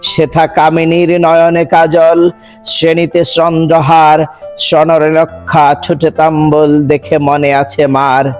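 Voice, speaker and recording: Guy reciting a poem real slow, pretty even tone, declamatory, music playing behind him. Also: on the high side. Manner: measured, declamatory, rhythmic delivery. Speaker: poetry reciter. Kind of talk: dramatic recitation of a Bengali poem.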